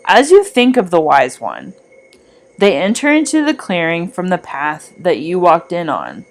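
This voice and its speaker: A woman reading a scripted guided meditation in a measured, gently instructing manner. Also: lowish voice.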